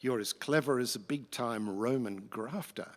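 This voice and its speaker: A man's voice: mid-range voice, normal speed, natural voice. A man playing a vain, scheming but easily shamed Roman emperor in a rhymed radio play, with a pompous, mannered delivery. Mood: smug, scheming. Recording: echoey hall with long reverb.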